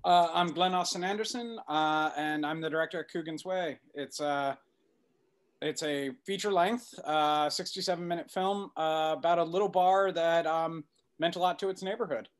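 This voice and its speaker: Male, middle-aged, slightly high voice, film director guest recounting his project on a video call. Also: normal speed, clear, resonant tone. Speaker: documentary director, interview guest. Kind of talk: conversational interview answers, storytelling. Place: indoor room at home, video call.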